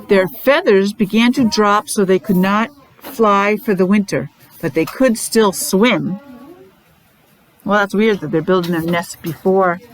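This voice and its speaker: A woman with a mid-pitched voice reads to young children like a classroom teacher. She breaks the reading up with short, simple explanations and repeats herself for clarity. There is faint noise behind her. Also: unhurried, rough recording. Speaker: preschool or special-needs teacher. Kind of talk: read-aloud to young children with explanatory asides.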